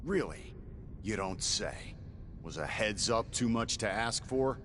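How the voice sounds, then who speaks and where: A man playing a gruff, impatient warrior hero in a video game, blunt and sardonic. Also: on the low side, expressive, takes it slowly, a bit bright, slight background noise. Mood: sarcastic. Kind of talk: video game cutscene acting.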